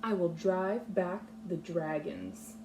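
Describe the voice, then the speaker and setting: A woman leading a read-aloud lesson for young children, speaking patiently in simple, deliberate, instructional phrases. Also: fairly low pitch, background noise. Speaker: kindergarten teacher reading a picture book aloud.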